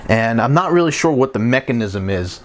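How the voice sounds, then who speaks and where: A man's voice: medium pitch, expressive, a little fast, a little hiss or hum. A man giving a casual, hands-on repair tutorial as a technician, talking in a plain-spoken, conversational and explanatory way.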